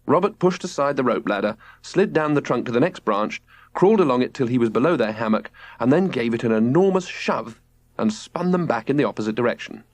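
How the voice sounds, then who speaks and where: A man narrating a children's audiobook in an expressive, scripted storytelling style. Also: mid-range voice, a little fast, no echo.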